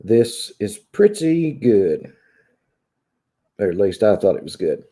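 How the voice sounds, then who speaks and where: A man in his thirties with a long Southern drawl from Kentucky talks casually to his online viewers. His voice is very dark and mellow, and he sounds conversational, like someone venting from a room at home. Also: fairly low pitch, very animated, slow pace. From Kentucky, United States. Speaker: YouTube vlogger. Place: small room at home, indoors.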